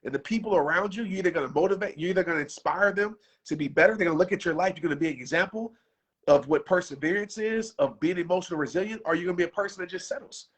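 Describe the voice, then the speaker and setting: A man's voice: sits high, rapid-fire. A man in his thirties giving an unscripted motivational talk on a livestream, casual and passionate, with his voice wearing out. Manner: passionate, preachy, casual slang. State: losing his voice.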